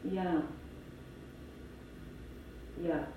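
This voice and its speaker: Muffled and very echoey, a woman's voice sounds from far off, slow and halting. She is a flustered, fond young woman on the phone in a radio drama. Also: on the low side, fairly even intonation. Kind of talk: German radio drama acting. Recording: muffled, distant, very echoey, faintly noisy.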